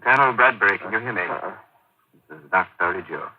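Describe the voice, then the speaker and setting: An actor playing a grave, focused surgeon who gives clipped orders in a 1950s radio drama. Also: male voice, lowish voice, fast, a little hiss or hum, close to an everyday voice. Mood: calm concern. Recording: muffled, tinny old radio broadcast.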